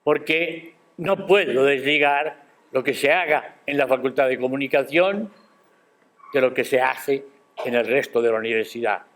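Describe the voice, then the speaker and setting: An older man giving a formal academic speech at a university ceremony, measured and emphatic, posing rhetorical questions. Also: mid-range voice, fairly bright tone. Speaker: guest speaker at a university ceremony. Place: university auditorium.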